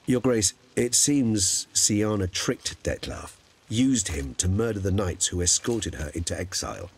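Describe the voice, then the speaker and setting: A man's voice: lowish voice, lively intonation, measured pace, breathy edge, exaggerated voice. An actor playing a courtly man in a fantasy game, reporting to his ruler in a formal, deferential manner. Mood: grave. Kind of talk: video game acting.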